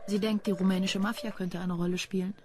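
A woman's voice: medium pitch, level tone, fast talker, darkish tone, a little hiss or hum, lightly acted. Dry, sardonic policewoman, played by an actress. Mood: businesslike, dry. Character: cool, quick-witted female police detective. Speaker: radio drama actor. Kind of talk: German crime radio play acting.

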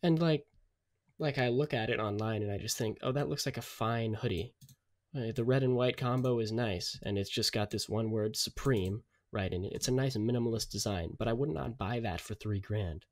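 A man's voice: medium pitch, moderate pace. A man co-hosting a podcast, speaking in casual discussion and arguing his opinions with repeated words. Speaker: podcast co-host.

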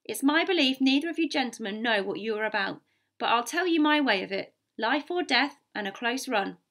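Storybook sea captain, played by a woman reader: stern, gruff man, curt and emphatic, formal in his speech. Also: a little high, expressive, brisk, a bit bright. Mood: blunt, disapproving. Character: gruff, blunt, stern male ship's captain. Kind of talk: audiobook character voice.

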